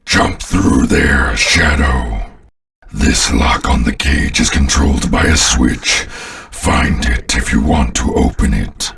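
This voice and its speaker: A sinister alien overlord for a video game, his voice bottomless and full of gravel. He speaks with slow, arrogant menace, as if every listener were a lesser servant. The sound sits back from the microphone. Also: a bit bright, far from the mic, heavily acted. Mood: commanding. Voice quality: very deep, gravelly. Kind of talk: video game villain voice lines.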